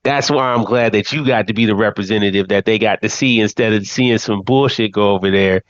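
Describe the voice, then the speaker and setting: A man's voice: on the low side, brisk, no echo. Laid-back male host, dry dull sound. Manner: laid-back, peppers speech with "man". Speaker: podcast host. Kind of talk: casual interview chat.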